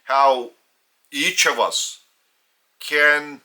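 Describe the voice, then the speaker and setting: A man with a Lithuanian accent, a native Lithuanian speaker, giving a solemn spiritual teaching in English in slow, deliberate phrases with long pauses. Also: on the high side, steady tone, pushed and bright, poor audio.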